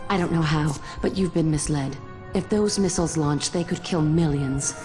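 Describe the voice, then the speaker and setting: An actress playing a commanding, earnest warrior superheroine in a video game, firm, direct and composed. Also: female voice, low-pitched, normal speed, dark tone, faint music behind, lightly acted. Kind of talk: video game cutscene acting.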